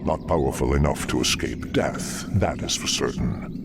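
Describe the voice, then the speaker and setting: A man voicing a haughty, scheming demon servant in a video game, with a deep gravelly voice and measured delivery. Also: heavy background noise. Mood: smug, resolute. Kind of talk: dramatic video game villain acting.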